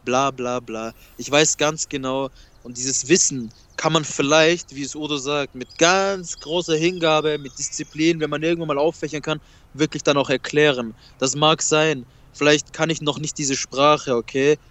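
A man's voice: a little high, steady tone, normal speed, a bit bright. A man whose first language is Kurdish, talking emphatically and repeating himself in a casual spiritual conversation.

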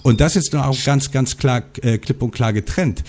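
A man's voice: medium pitch, normal speed, a little mellow. A man in a casual spiritual conversation, lecturing with slang.

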